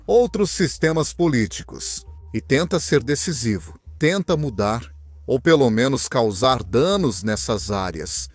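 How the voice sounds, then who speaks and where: A young man from Venezuela, a guest in an interview, telling his story in an earnest, animated way. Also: medium pitch, dry recording. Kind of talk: interview answers, personal story.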